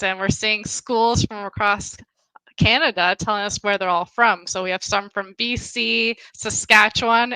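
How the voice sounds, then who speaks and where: Woman host of a virtual school event. Bright, slightly high voice, dry and close. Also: no room sound, rough recording. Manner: upbeat, clear announcements. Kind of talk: scripted live-stream hosting.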